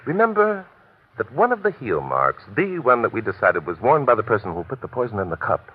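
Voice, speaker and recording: An actor playing an urbane, self-assured amateur detective in an old radio mystery, measured and precise with light amusement. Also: male voice, mid-range voice, very animated, breathy edge. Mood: smugly explaining. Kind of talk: 1940s radio mystery acting. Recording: muffled, hissy old radio recording.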